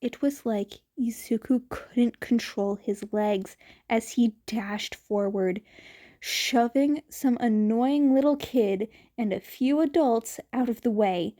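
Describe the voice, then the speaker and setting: Slow, high-voiced woman reading a story. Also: dark tone. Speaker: fanfiction reader. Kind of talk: reading fanfiction aloud.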